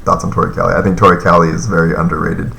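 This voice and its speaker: A man's voice: low voice, level tone, rapid-fire, creaky, pushed and bright, roomy sound, a little hiss or hum. A man in his thirties chatting casually on a live stream, reading and answering viewer comments in a loose, rambling way with lots of fillers. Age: 30s.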